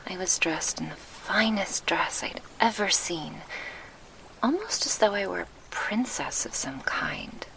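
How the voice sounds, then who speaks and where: A woman playing a gentle, wistful succubus guardian in a soft ASMR roleplay, speaking in formal, measured phrases with a breathy murmur, close to the mic. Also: mid-range voice, lively intonation, heavily acted, poor audio. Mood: wistful, reflective. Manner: formal, measured, old-fashioned diction. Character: gentle, wistful female succubus guardian, grieving her lost past. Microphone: close binaural microphone.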